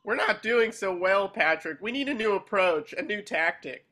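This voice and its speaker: A man's voice: high voice, lively intonation, moderate pace, sharp tone, heavily acted. A man voicing an earnest, eager, boyish cartoon sea sponge in a table read, coaxing as he talks.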